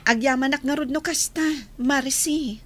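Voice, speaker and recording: A woman with an Ilocano accent playing a friendly, bashful young trainee in a radio drama, sweet and gently hesitant. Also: high-pitched, unhurried. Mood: shy, friendly.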